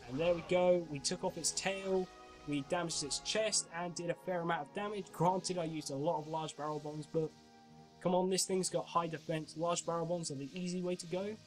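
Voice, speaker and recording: A man's voice: on the high side, steady tone, moderate pace, faint music behind. A young man giving chatty commentary while playing a game, explaining as he goes, his voice a bit off from a cold coming on. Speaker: gaming channel host. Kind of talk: casual gameplay commentary.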